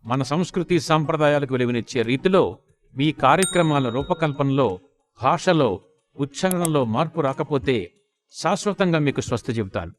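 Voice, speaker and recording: A man's voice: fairly high pitch, lively intonation, moderate pace, close to an everyday voice. A man voicing a stern female voice from the heavens, grave and declamatory in formal literary Telugu. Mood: stern, threatening. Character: stern, scolding disembodied female celestial voice.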